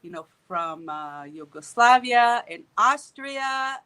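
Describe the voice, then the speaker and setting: A woman's voice: fairly high pitch, expressive, takes it slowly, sharp tone, clear, resonant tone. A middle-aged woman from Israel talking casually as a guest artist over a video call, chatty and laughing often. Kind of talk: casual livestream chat.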